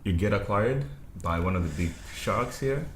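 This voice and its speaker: A man's voice: fairly low pitch, animated, moderate pace. A man hosting a podcast interview, asking questions casually and laughing easily. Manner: brief back-channel replies, laughs easily. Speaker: podcast host. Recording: echoey room with faint noise.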